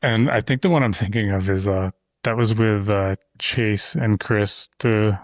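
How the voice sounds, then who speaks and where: A man with a lowish voice hosts a podcast and talks easily, wandering into asides as he explains things. He sits close to the mic, and the sound is dry and close, with no room echo. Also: measured pace. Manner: talkative, explains at length with loose asides. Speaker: podcast host. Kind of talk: casual conversation. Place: insulated shop-building studio. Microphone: close studio mic.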